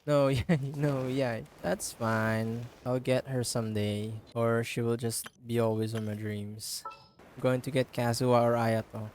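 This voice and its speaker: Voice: medium pitch, steady tone, unhurried, darkish tone, a little hiss or hum. A game streamer chatting casually during a live stream, with playful, rambling asides. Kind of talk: casual live stream chat over gameplay.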